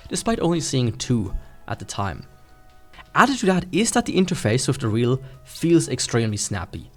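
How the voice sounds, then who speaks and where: A man with a mid-pitched voice narrates a scripted gadget review. His intonation swings up and down in a lively way as he explains things plainly, with music playing behind him. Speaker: tech reviewer and host.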